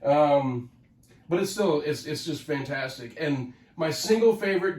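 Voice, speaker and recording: A man's voice: on the high side, darkish tone, echoey room, distant mic, rough recording. A man hosting his own channel, talking casually and a little nasally because of a head cold, rambling with lots of fillers and restarts. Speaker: host of a record collecting channel. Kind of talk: casual solo talk to camera.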